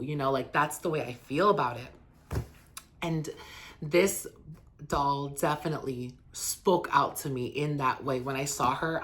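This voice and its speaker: A man speaks with a hoarse voice, sitting lower than usual because he is sick. As the host of a casual collector vlog, he rambles earnestly through an unboxing and review, filling his talk with ums and likes. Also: fairly bright tone. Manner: eager and earnest about the doll. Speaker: doll collector and channel host.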